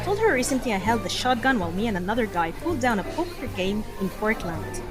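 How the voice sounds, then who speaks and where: A woman's voice: on the high side, big pitch swings, quick pace, over music. A woman acting in an audio drama as a slangy, foul-mouthed undercover cop spinning a street story. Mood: guarded, questioning. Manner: slangy, profane, exasperated storyteller. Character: male undercover cop rehearsing a foul-mouthed pot dealer's anecdote.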